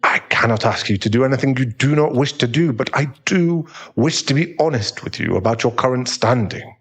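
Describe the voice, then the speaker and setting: A professional therapist acted by a man in an audio roleplay. He is calm and frank, choosing formal, tactful words in a measured, mid-range male voice. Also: mid-range voice. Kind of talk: dramatic audio roleplay acting.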